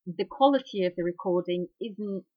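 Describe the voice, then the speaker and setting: A woman's voice: medium pitch, normal speed, husky, no echo. Chatty woman host, dull dry sound. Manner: chatty asides, hesitant fillers. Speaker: radio host. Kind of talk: informal talk on iridology history.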